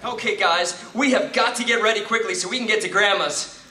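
A man acts an exasperated, stammering father in a stage radio comedy. His voice is forceful and bright, heard in an echoey room from a distance. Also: high-pitched, a little fast, a little hiss or hum. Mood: hurried. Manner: flustered, stammering, pleading. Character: harried, exasperated young family dad. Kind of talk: live old-time radio play acting. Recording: echoey stage, picked up from far off.

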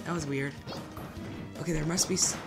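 Young woman, lowish voice, chatty gaming commentary, loud game music underneath. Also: fairly even intonation, normal speed, creaky. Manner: chatty, explains the game, frequent laughs. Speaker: channel host playing a fighting game. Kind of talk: casual gaming chat.

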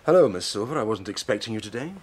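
A man's voice: lowish voice, lively intonation, fast, husky. An actor playing an indignant, easily flustered male psychiatrist in a radio drama, speaking precisely. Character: exasperated, indignant male psychiatrist who practises hypnosis.

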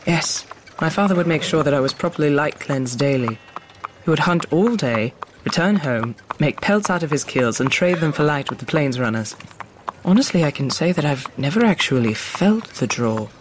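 A man's voice: a little high, expressive, normal speed, natural voice. An actor playing a polite, curious young man from the plains in a fantasy audio drama, with formal, earnest phrasing. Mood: calm, open. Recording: clearly noisy background of clicks and horse-like sounds.

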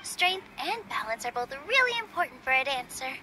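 A gentle young dancer. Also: female voice, high-pitched, big pitch swings, brisk, very noisy. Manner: soft and reassuring. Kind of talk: video game voice acting. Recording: game audio with loud music.

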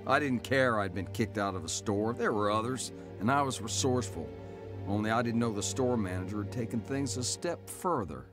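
A man's voice: lowish voice, lively intonation, moderate pace, lightly acted. An actor playing a grown man narrating his hard childhood in a reflective, matter-of-fact way. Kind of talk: scripted first-person drama narration. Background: music.

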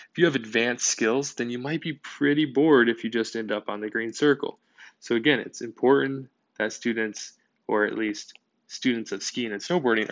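Gravelly-voiced man explaining a lesson. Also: mid-range voice, dry recording, highs cut off. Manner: steady explanatory, occasional "um". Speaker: teacher. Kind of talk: whiteboard lesson narration.

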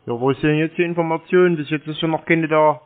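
A man answering cold calls in casual, terse, deadpan stonewalling replies. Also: on the high side, quick pace. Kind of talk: casual phone talk. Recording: thin, band-limited phone call sound.

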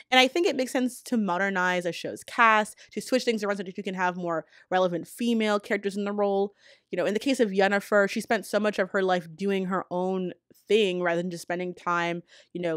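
An American woman in her thirties giving casual, opinionated commentary in an informal, slangy way with animated emphasis. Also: mid-range voice, no echo, studio quality. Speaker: video essayist and host. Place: small indoor room with poster-covered walls. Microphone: close studio mic on a boom arm.

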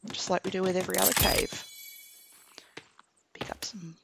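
A man's voice: sits high, normal speed, vocal fry, heavy background noise. A man casually commentating a survival game, thinking aloud as he plays. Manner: relaxed, chatty, thinking aloud while playing. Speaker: gaming commentator. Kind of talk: casual let's play commentary.

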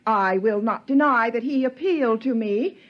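A woman's voice: on the high side, normal speed, lightly acted. An actress playing a prim, domineering young woman, pompous and pedantic, in 1950s radio drama style. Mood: haughty. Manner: pompous, pedantic, legalistic wording. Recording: dull 1950s radio recording.

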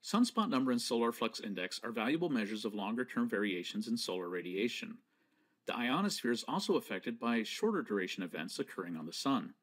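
A man reads a prepared lecture in a steady, explanatory way. His pitch moves about freely, which keeps the delivery animated as he walks through each point. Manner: measured, explanatory and precise. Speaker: presenter. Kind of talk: scripted slideshow lecture.

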